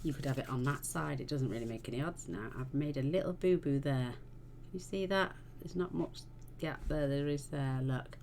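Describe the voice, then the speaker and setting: A woman talks through a card-making tutorial in a chatty, homely way, her low voice gravelly and a little croaky. She is recorded at home with clear background noise. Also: normal speed, hardly any pauses. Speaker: card-making channel host. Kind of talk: casual crafting tutorial.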